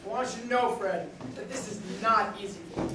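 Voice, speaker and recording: A formal, stern camp director, played by a man in an amateur stage play, making his announcements. He sounds far from the mic. A strong hall echo and steady noise surround him. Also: high voice, a little fast, lightly acted. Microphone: far room mic. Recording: noisy echoey hall from far off.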